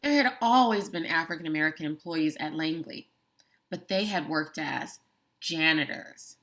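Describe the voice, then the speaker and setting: A woman teacher reads a book chapter aloud for her students at a measured, deliberate pace. She stresses important words and now and then breaks off for a brief comment of her own. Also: medium pitch, pushed and bright. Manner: slow, deliberate reading with emphatic words and brief asides. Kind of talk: classroom read-aloud of a book.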